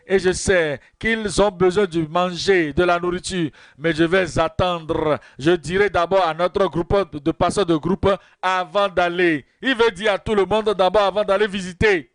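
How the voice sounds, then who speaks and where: A man preaching at a Bible study, repeating verse references and key phrases in a slow, measured teaching manner. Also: high-pitched, steady tone, no echo. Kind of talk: sermon.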